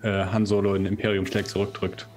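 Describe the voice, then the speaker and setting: A man's voice: low voice, flat delivery, a little fast, darkish tone, soft background music. A man talking casually as a player in a tabletop role-playing game.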